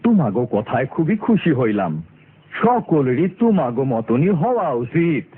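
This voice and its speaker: A man's voice: on the high side, big pitch swings, moderate pace, husky. A man voicing an upright, sensible villager in a cartoon, with a Bangladeshi accent. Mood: approving, firm. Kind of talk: animated cartoon dialogue. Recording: muffled lo-fi cartoon audio, music behind.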